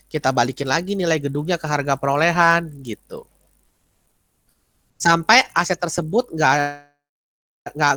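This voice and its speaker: A man's voice: on the high side, bright, forceful tone, no room sound. A man, lecturing informally and often checking that listeners follow with short tags. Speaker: accounting lecturer. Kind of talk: informal explanatory teaching with question and answer.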